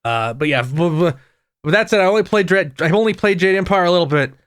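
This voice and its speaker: A man co-hosting a casual gaming podcast, talking in a rambling way with frequent restarts and quick asides. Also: sits high, animated, clean tone, no room sound, studio quality. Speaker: podcast co-host.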